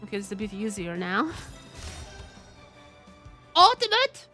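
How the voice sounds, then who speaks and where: A woman game streamer giving casual, expressive live commentary, often repeating her phrases. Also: mid-range voice, measured pace, faint music behind.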